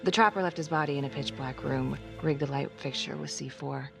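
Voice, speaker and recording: A woman's voice: very deep voice, a little fast, creaky, heavily acted. A woman acting a weary, guarded and tough homicide detective in a crime game, speaking bluntly in a naturalistic style. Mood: grim, matter-of-fact. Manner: blunt, swearing, world-weary. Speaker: video game actor. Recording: music under the dialogue. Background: background music.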